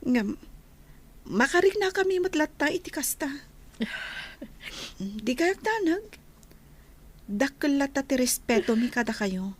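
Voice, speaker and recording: A woman playing a soft-hearted, respectful younger woman in an Ilocano audio drama, with an Ilocano accent. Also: sits high, big pitch swings, measured pace, long pauses. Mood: pleading, remorseful. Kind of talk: radio drama acting.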